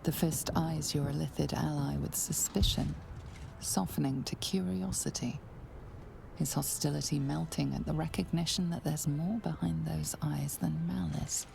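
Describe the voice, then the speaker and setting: A woman voicing a grave storyteller in scripted game narration, hushed and suspenseful. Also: sits low, steady tone, moderate pace, gravelly, background noise. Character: grave, hushed female storyteller of fantasy adventure.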